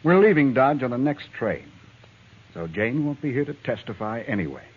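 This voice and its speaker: A man's voice: mid-range voice, big pitch swings, normal speed, husky. An actor playing a hostile, domineering townsman in a 1950s radio western, speaking in a curt, indignant, bossy way. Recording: tinny, band-limited old radio recording.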